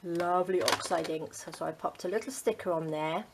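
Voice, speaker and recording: A middle-aged woman from the UK, chatting casually to her craft channel, rambling with many ums and dropping to a soft near-whisper as she works. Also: lowish voice, moderate pace, gravelly, background noise. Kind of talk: casual chat while crafting.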